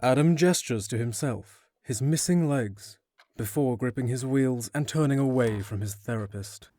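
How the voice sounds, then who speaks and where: A man delivers scripted narration for an audio drama. His voice sits at an average pitch, and he tells the story in a measured, descriptive manner. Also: mellow, dry recording, studio quality.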